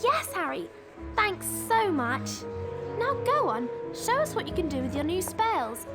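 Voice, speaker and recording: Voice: extremely high pitch, big pitch swings, a little slow, over loud music, heavily acted. An actress voicing a sweet, adoring young schoolgirl witch in a video game, girlish and admiring. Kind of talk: video game cutscene acting.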